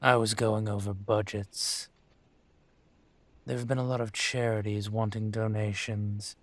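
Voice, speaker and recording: Man acting a slow, low-voiced dragon mafia boss. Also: flat delivery, no room sound, lightly acted. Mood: composed, authoritative. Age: older. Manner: smooth, deliberate, courtly with veiled menace. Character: suave, menacing, aging dragon mafia boss. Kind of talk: scripted roleplay audio drama.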